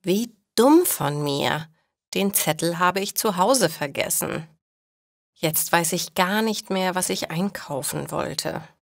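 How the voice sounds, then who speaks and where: A narrator plays a forgetful woman out shopping, talking things over with herself. She speaks slowly and slightly low, in a dry storybook recording for learners. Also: lively intonation, vocal fry, no room sound, heavily acted. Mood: self-reproachful. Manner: self-talking, musing. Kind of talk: storybook dialogue for language learners.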